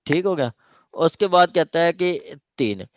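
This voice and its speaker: A man's voice: fairly high pitch, lively intonation, unhurried, no echo. A man with a Pakistani accent gives an informal law lecture, explaining point by point with repetition and English legal terms mixed in. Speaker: law teacher. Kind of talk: informal lecture explaining statute clauses to a class.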